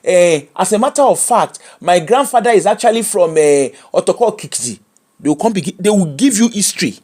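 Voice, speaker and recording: A man with a Nigerian accent giving opinionated commentary, animated and mocking, slipping into pidgin. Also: high voice, brisk. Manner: animated, switches into pidgin and mimics people.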